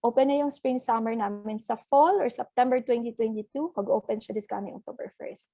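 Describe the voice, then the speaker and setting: A woman with a Filipino accent giving a brisk, upbeat webinar presentation as a college representative. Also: fairly high pitch, dark tone, dry recording. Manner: brisk, upbeat, mixing English and Tagalog.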